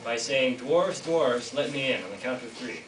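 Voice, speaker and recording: A man's voice: medium pitch, expressive, moderate pace, over music. A teenage boy narrating a fairy tale in a school reader's theater, playfully joking with the audience. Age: teens. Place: school classroom or hall. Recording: echoey room from far off, noisy.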